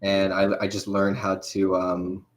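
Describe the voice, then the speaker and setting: A man's voice: deep voice, monotone, a little slow. A young man chatting casually on a livestream while he animates. He rambles, with lots of fillers and restarts.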